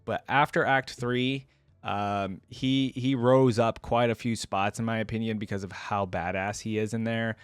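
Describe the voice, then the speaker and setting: A man's voice: on the low side, fairly even intonation, measured pace, smooth, no echo. A man giving casual, rambling, enthusiastic commentary to his viewers as a reaction video creator. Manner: informal, rambling, enthusiastic about the game.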